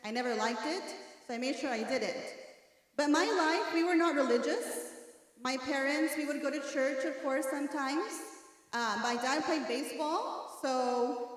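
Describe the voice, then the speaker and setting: High-voiced woman in a big echoing hall. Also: moderate pace. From Mexico, raised in East Texas. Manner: earnest storytelling, addresses audience directly, shifts between English and Spanish. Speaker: religious sister giving a talk. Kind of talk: personal testimony to a youth congress. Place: large hall at a youth congress. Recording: echoey hall, voice from far off.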